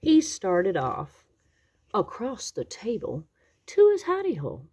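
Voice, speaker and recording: A woman reading a children's picture book aloud expressively, with dramatic, drawn-out emphasis on key words. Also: medium pitch, wildly swooping pitch, darkish tone, breathy edge. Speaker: children's story reader.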